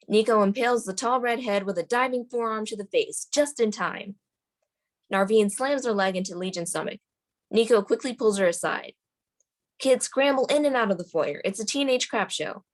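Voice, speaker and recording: A woman reading screenplay stage directions as the narrator at a table read. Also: medium pitch.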